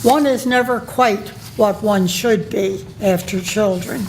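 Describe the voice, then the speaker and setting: A woman giving an academic conference talk, reading from a paper in a measured way with pauses and fillers. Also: medium pitch, a little hiss or hum, rough recording. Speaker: conference presenter. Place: conference room.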